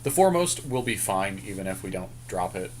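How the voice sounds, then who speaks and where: A man's voice: deep voice, very animated, brisk, slight background noise. A man co-hosting a podcast, chatting casually and thinking aloud in informal slang as he talks through a card game draft. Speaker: podcast co-host.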